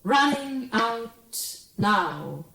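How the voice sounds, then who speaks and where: A woman's voice: fairly high pitch, big pitch swings, extremely slow, gravelly, bright, forceful tone. A woman reciting an avant-garde text-sound piece in fragmented, repeated phrases. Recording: echoey 1970s radio composition with music.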